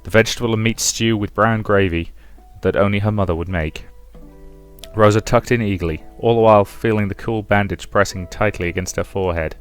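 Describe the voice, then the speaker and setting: A man's voice: on the low side, steady tone, fairly quick, clean tone. A man hosting a let's play, narrating a visual novel as a dramatic story. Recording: music behind the voice.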